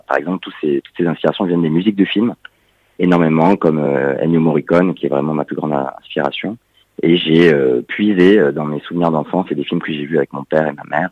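Deep-voiced hesitant man on radio. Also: no echo, narrow, tinny audio. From Montpellier, France. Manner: hesitant, many fillers. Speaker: musician and actor guest. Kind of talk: radio interview.

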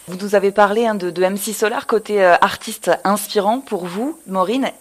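A woman radio host introducing and interviewing a guest in a clear, polished announcer's delivery. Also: medium pitch, normal speed.

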